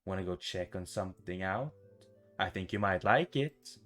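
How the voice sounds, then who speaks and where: A man's voice: sits low, animated, moderate pace, a bit bright. A man voicing a smug yet bashful, flirtatious loner from the woods, with a casual, teasing delivery in a visual novel. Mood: inviting. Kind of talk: visual novel dialogue read-aloud. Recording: music behind the voice.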